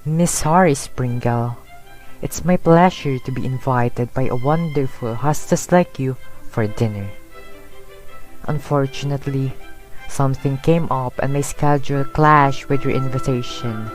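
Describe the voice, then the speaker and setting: Teenage boy as a courteous gentleman, music behind. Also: medium pitch, a little slow, lightly acted, poor audio. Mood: polite, apologetic. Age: teens. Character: courteous gentleman reading his formal letter of apology. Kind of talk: amateur play voice-over, reading a letter.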